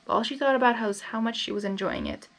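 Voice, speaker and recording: A woman's voice: fairly high pitch, fast talker. A woman reading a story expressively, dropping in casual asides as she goes. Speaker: fan fiction reader.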